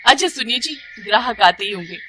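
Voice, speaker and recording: A woman's voice: a little high, animated, fairly quick, natural voice. Lady doing cheeky village wife selling tea, theatrical and swingy, bedtime story style, bit of noise behind. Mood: excited. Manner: sassy, exclaiming, theatrical. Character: tea-loving village wife turned cheeky, enterprising tea seller. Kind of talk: acted dialogue in a bedtime story.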